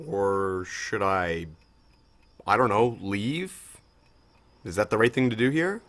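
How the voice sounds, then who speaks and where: A gentle young soldier in an intimate audio drama. His voice sits low but rises and falls expressively. He talks haltingly and earnestly, tentative and quick to apologize. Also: lively intonation. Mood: apologetic, hesitant. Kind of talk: naturalistic audio drama dialogue.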